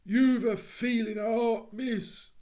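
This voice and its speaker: A man voicing a rough, frightened male burglar who speaks in coarse dialect and drags his words out slowly. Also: extremely high pitch, steady tone, warm tone, pitched above the performer's own voice. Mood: pleading. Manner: coarse dialect speech, pleading, slow drawl.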